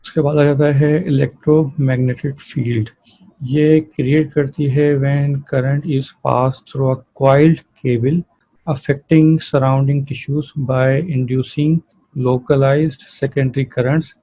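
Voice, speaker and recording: Guy teaching a class, mellow voice, pretty monotone, explains stuff step by step. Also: mid-range voice, drawn-out words, no echo, rough recording. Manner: didactic, reads terms out then explains them. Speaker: physiotherapy lecturer. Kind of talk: explanatory lecture, mixing technical terms with casual explanation.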